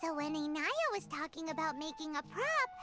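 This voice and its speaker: A woman voicing a tiny floating fairy companion who is chirpy and blunt, with a high childlike voice, over game music. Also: very animated, normal speed. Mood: musing.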